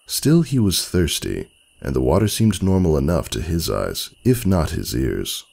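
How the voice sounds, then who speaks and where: A man narrating a story as a dramatic scripted reading, with a gravelly, husky voice and expressive literary delivery. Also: rumbling low, a little slow.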